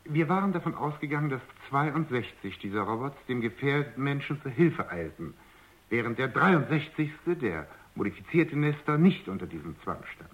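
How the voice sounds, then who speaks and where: An actor playing a skeptical, sardonic research scientist in a radio drama, wry and argumentative. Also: male voice, medium pitch, big pitch swings, moderate pace, lightly acted. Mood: wry, concerned. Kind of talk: German radio drama acting. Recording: muffled, dull radio drama recording.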